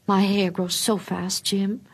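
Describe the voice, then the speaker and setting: A woman's voice: medium pitch, flat delivery, takes it slowly, husky, voice pushed up. A woman voicing an eager, adoring young wife with a breathless, exclamatory manner in a read-aloud story. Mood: brave, tearful.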